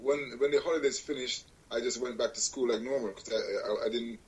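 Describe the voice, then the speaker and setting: A husky-voiced man, a guest chatting on a radio show. He talks at an easy middle pitch, circling back and correcting himself as he tells his story, with wry little jokes along the way. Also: a little fast, poor audio. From Jamaica. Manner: rambling, self-correcting, laughs at own jokes. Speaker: guest musician. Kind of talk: casual interview conversation.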